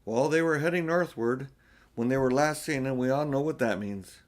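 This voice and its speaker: A man's voice: medium pitch, normal speed, pitched above the performer's own voice, close to an everyday voice. A man voicing a male talking beaver in a storybook, an earnest, solemn woodland creature with a folksy, emphatic manner. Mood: gloomy. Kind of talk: storybook character voice.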